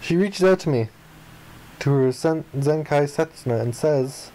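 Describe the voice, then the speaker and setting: A man reading the narration and dialogue of a visual novel aloud in an expressive style. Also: a little high, a little slow, poor audio.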